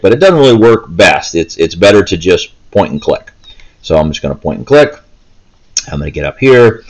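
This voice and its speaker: A man with a fairly low voice talks through a video game he is playing. His delivery is casual and halting, as if working things out aloud. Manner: hesitant, halting, thinking aloud. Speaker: video game player and commentator. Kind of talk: casual gameplay commentary, chatty walkthrough. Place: indoors, seated, filming handheld gaming screen.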